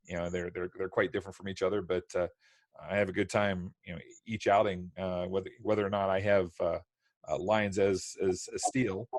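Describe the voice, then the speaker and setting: Man, deep voice, dry close sound, casual live-stream chat. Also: flat delivery, a little fast, no room sound. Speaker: volunteer audio drama voice actor.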